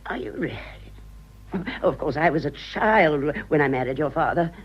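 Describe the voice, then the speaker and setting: A woman's voice: low voice, expressive, moderate pace, husky. An actress playing a vain, gushing, aging society mother with an upper-class English accent in a radio comedy. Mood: airy, amused. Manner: effusive, drawling, girlishly theatrical. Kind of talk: stage comedy radio acting. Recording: dull, muffled old radio drama.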